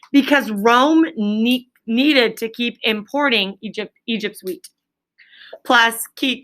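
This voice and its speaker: A woman's voice: fairly high pitch, sharp tone. A woman teacher reading aloud to her class in an instructive way, stopping to prompt pupils with check-in questions. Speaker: elementary school teacher.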